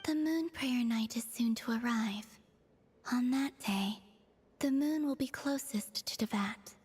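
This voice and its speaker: A woman voicing a gentle, wistful otherworldly young moon maiden for a video game, speaking softly and breathily in measured, understated phrases. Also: fairly high pitch, breathy edge, big-room sound. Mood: quiet, wistful.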